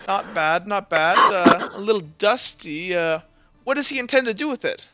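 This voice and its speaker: A man's voice: high-pitched, normal speed, bright, forceful tone, no echo, faint music behind. A man playing a smug, self-assured man of status in a tabletop game, with a casual, showy manner. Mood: nonchalant.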